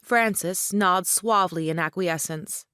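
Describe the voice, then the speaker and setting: A woman's voice: lowish voice, unhurried, a bit bright, smooth, no echo, studio quality. A woman reading stage directions as scripted narration for an audio play.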